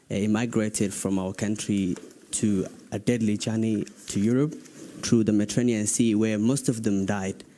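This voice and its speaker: A man's voice: mid-range voice, warm tone. A young man from Somalia, a youth leader putting a long, earnest question to a panel. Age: young adult. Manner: earnest, long flowing sentences.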